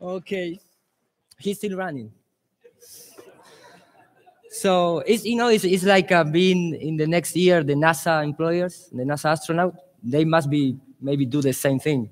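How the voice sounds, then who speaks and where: A man from Argentina, a native Spanish speaker, gives a joking talk on stage in halting English with an Argentinian accent. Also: a little high, steady tone. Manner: playful, laughing, halting non-native English. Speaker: conference speaker. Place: large conference hall. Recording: reverberant hall sound.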